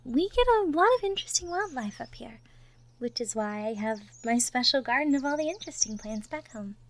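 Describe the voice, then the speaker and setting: A woman playing a gentle, cheerful young cottagecore woman from the woods in an intimate roleplay audio, soft-spoken, giggly and sweet. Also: high-pitched, lively intonation, a little fast. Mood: fond, calm. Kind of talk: roleplay audio drama, intimate one-sided conversation.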